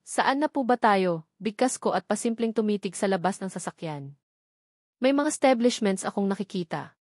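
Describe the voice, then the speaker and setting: A woman with a Filipino accent narrates a novel in the first person. Her voice sits at a middle pitch. The sound is dry, with very little room around it. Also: darkish tone, no echo. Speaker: audiobook narrator.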